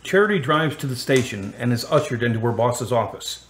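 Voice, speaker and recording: A man narrating a radio drama as a composed storyteller, in a measured, matter-of-fact way. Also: medium pitch, animated, slight background noise, natural voice. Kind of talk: scripted narration.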